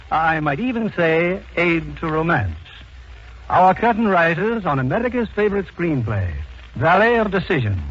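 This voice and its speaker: A man's voice: high voice, a bit bright, husky. A man hosting and announcing in polished 1940s radio style. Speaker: announcer and show host. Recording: muffled vintage 1940s radio recording.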